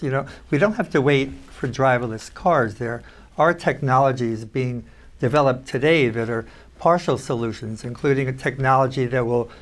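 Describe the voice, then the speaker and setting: A man on a panel discussing technology, speaking in a measured, reflective way. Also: mid-range voice.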